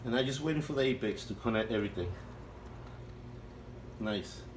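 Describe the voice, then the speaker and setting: A man with a non-native English accent, talking casually at home in a halting, repetitive way while filming an aquarium on his phone. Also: on the low side, background noise. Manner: halting and repetitive, restarts phrases, earnest and pleading. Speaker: hobbyist vlogger. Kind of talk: casual home video walkthrough. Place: home, beside an aquarium indoors. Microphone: phone held by the speaker while filming.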